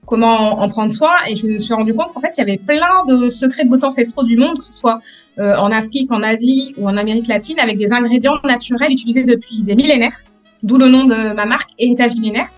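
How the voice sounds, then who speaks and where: A woman's voice: a little high, soft background music. Hesitant woman in her thirties. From France. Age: 30s. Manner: hesitant, many "euh" pauses, soft-spoken. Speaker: guest entrepreneur. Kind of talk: casual interview answers.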